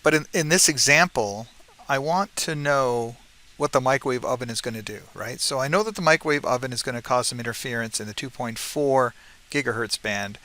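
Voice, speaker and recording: A man's voice: mid-range voice, sharp tone. A man giving an informal, conversational technical tutorial as a presenter, often checking in with the listener as he explains. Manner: conversational, frequently checks with "right?".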